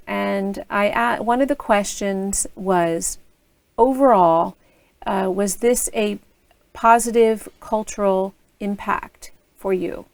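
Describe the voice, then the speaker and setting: A woman's voice: medium pitch, no echo. An American woman interviewing student teachers over a video call, asking open questions in an encouraging way. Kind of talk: reflective interview.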